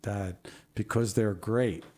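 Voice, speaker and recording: A man hosting a show, reading out corny jokes with a casual, jokey manner, laughing at his own puns while losing his voice and clearing his throat. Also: lowish voice, takes it slowly, darkish tone. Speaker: show host.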